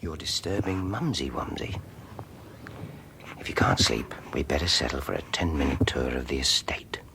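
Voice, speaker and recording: An actor playing a doting father, murmuring softly and playfully to his baby in a radio drama. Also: a bass voice, expressive, normal speed, a little hiss or hum, full character voice. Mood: playful, hushed. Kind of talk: radio horror drama acting.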